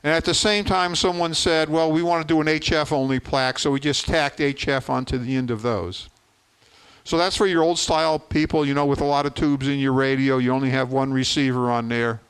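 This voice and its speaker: A man's voice: mid-range voice, steady tone, brisk, clear, resonant tone, rough recording. A man in his seventies giving an informal explanatory presentation as an organizer, folksy and plain-spoken, repeating words for emphasis.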